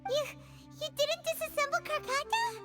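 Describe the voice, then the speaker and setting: A tiny, excitable fairy companion from an anime game. Her childlike voice is extremely high and squeaky, and it swoops around with naive emotion. Music plays behind her. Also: moderate pace. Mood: surprised. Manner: speaks of herself in third person, exclamatory.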